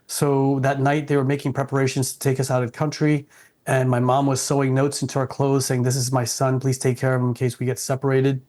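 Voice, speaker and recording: A man's voice: medium pitch, completely flat delivery, brisk, a little mellow. A middle-aged man, born in Vietnam and speaking English, being interviewed and talking earnestly and respectfully, often restarting his sentences. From South Vietnam. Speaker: guest.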